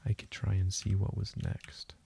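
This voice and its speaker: A man giving calm, soothing game commentary in a hushed, deliberate voice that drifts toward a whisper, as if for sleep. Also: deep voice, vocal fry, slightly rough. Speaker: gaming video host. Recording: faint hiss with keyboard and mouse clicks. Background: keyboard typing and mouse clicking.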